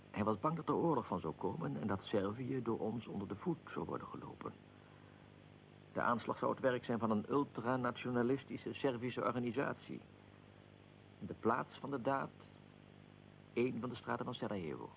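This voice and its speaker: An actor playing a proud, aggrieved middle-aged archduke in a radio drama, speaking formally and deliberately with a gravelly voice. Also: male voice, mid-range voice, a little hiss or hum, low-quality recording. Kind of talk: radio drama, historical figure being interviewed.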